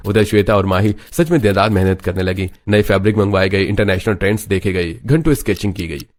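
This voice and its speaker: Male narrator for animated family melodrama, scripted storytelling, lowish pitch. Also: quick pace.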